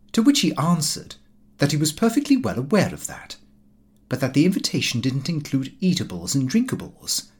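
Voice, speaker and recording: Animated male audiobook narrator. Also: mid-range voice. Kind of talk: scripted narration of a comic diary novel.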